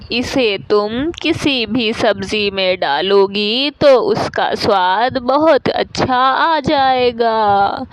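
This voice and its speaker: A woman's voice: on the high side, slight background noise. A woman voicing a poor, grateful old female bird in a cartoon, kindly and pleading.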